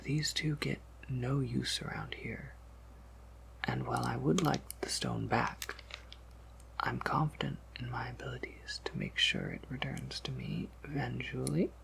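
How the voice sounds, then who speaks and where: Male performer as courteous vampire apothecary keeper, ASMR roleplay: unhurried, heavily creaky whisper, gentle, formal, quietly amused. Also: medium pitch, big pitch swings, slight background noise, heavily acted, low-quality recording. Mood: politely coaxing. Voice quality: breathy whisper with gravelly creak.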